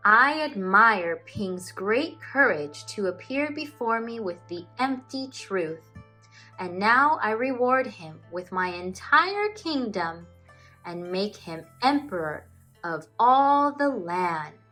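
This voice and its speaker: A woman's voice: mid-range voice, sing-song, sharp tone, exaggerated voice, poor audio. Casting: woman as storybook emperor, male ruler, stately and approving, emphatic proclamations over music. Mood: solemn, approving. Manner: grand, emphatic, measured proclaiming. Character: proud, approving emperor, grown man, proclaiming.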